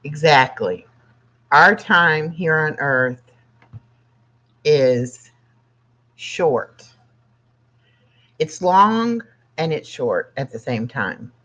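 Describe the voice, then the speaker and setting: A livestream host of sixty with a medium-pitched voice speaks slowly and plainly, pausing often between short phrases. The delivery is casual, moving between reading aloud and chatting to viewers. The sound is slightly dull and lo-fi. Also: animated, bright, forceful tone.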